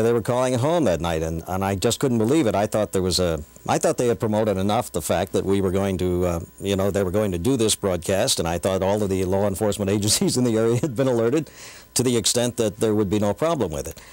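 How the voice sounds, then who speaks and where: A man's voice: fairly low pitch, quick pace, darkish tone, no echo, poor audio. A middle-aged newsman reminiscing conversationally in an interview. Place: small radio studio.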